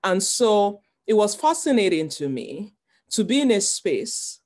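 A woman with a Nigerian accent introducing herself on a webinar panel, speaking in a measured, reflective way with fillers, over a video call. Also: medium pitch, sing-song, darkish tone. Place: home or office on a video call.

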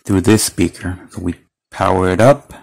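A man with a fairly low voice talks through a casual product demo. He explains each step plainly, as a reviewer showing a gadget by hand. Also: moderate pace, creaky, a little mellow. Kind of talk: casual hands-on product demonstration. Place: indoors at a wooden table.

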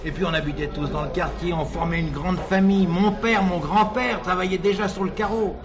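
A man's voice: high-pitched, steady tone, brisk, crowd noise, lightly acted. An actor playing a jovial middle-aged self-made produce wholesaler and doting father in a French radio drama, colloquial and chuckling. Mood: proud, nostalgic.

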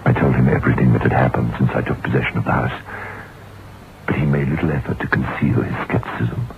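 Haunted male writer, very deep, grave and fearful, vintage radio play, thin noisy old recording. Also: steady tone, fast, gravelly, narrow, tinny audio. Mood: grave, brooding. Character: haunted, anxious male novelist narrating his ghost story. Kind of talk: old-time radio drama acting.

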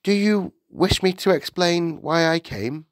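A man's voice: sits high, unhurried, no echo, pitched above the performer's own voice. A man voicing a slim young woman schoolteacher in a storybook, polite and earnest but firm. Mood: composed, earnest.

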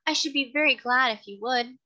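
A woman voicing a kind, gentle lady who speaks politely and earnestly in a storybook reading. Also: sits high, a little fast, voice pushed up. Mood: gently persuasive. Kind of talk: audiobook character reading.

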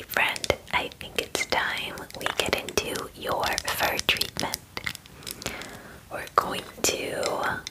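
Young woman doing asmr roleplay, soft breathy whisper right up close, really slow and soothing. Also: rough recording. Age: 20s. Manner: gentle, soothing, unhurried reassurance. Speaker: ASMR artist playing a spa groomer. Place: small room at home, indoors. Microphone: close microphone, near the camera.

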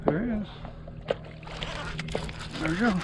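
An easygoing man in his forties narrates his fishing trip, voice a touch high for a man. He talks from a small open boat far offshore, recorded on an action camera. Clear wind and water noise runs under his voice. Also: lively intonation, slow. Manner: easygoing, chatty, talks to the fish and viewers. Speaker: fishing channel host. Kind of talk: casual fishing vlog narration.

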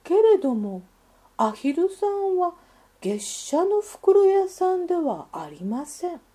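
Slow, expressive woman storyteller, high voice. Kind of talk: scripted children's story narration.

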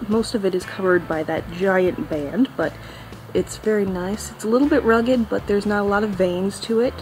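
A young woman chatting casually through a product review, friendly and relaxed with a few ums along the way, filmed on a phone at arm's length indoors. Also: medium pitch, poor audio. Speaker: cigar reviewer.

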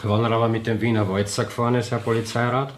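A man's voice: lowish voice, level tone, fast talker, natural voice. An actor playing a skeptical, matter-of-fact male chief inspector with a Viennese accent in a radio crime drama, colloquial and pondering.

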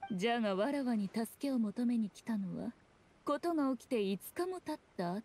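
A haughty fox-spirit priestess from an anime game. Her voice sits a little high, and she speaks in an archaic, imperious way, composed and full of sly scorn. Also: lively intonation, normal speed, a little mellow. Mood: cool, threatening. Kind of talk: Japanese anime-style game acting.

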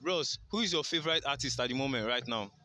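Casting: young Nigerian male interviewer, quick delivery, forceful bright tone, polite and coaxing. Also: mid-range voice, expressive. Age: young adult. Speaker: street interviewer. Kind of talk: casual street interview. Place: outdoors by a garden wall. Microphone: handheld phone as recorder.

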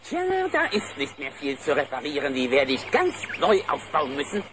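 A man voicing a gruff, grumbling, old-fashioned bear handyman in a cartoon audio drama. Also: mid-range voice, big pitch swings, normal speed, over music, natural voice. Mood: gruff, practical. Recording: noisy old cassette transfer.

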